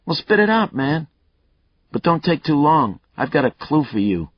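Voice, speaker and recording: Man doing a high, mocking fugitive villain; crude, swaggering, loud; lo-fi audiobook sound. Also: very animated, normal speed, husky, no echo. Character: taunting male fugitive killer, mocking, unhinged.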